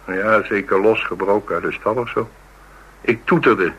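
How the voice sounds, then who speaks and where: A man's voice: lowish voice, moderate pace, fairly bright tone, husky, low-quality recording. An actor playing a brooding, philosophical man in a radio drama, musing and dryly understated. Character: brooding, philosophical man named Paul, haunted by dreams. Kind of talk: Dutch radio drama acting, naturalistic dialogue.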